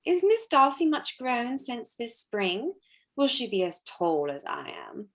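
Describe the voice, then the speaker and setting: A woman's voice: fairly high pitch, big pitch swings, unhurried, a bit bright. Woman playing a haughty, swooping society lady. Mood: gushing. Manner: arch, affected, gushing. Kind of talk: theatrical staged reading of period drama.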